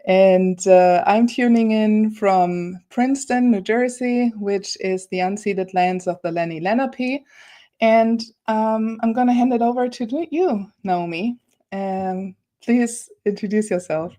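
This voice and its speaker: A woman's voice: mid-range voice, slow pace, dark tone. A woman moderating an informal online panel in a conversational way, often voicing little quotes as she retells things. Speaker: host and moderator. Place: office, on a video call. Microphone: video call.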